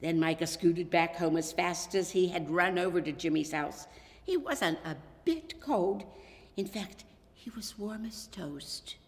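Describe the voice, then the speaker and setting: A woman reading a children's story aloud as storyteller, expressive, dramatic and deliberate. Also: lowish voice. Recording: reverberant hall.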